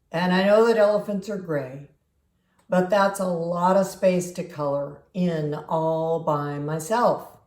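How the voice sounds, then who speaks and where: Storybook crayon, overworked and exasperated female reader, slow, mellow, drawn-out complaints, roomy echo. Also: fairly low pitch. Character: weary, overworked gray crayon pleading for a break. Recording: roomy, echoing home recording.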